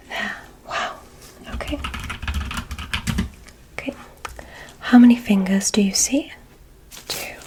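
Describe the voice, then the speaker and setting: A woman playing a curious, gently condescending alien medical examiner in an ASMR roleplay, speaking in a soft, breathy, measured whisper with clinical instructions. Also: mid-range voice, noisy background. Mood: curious, soothing. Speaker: ASMR performer.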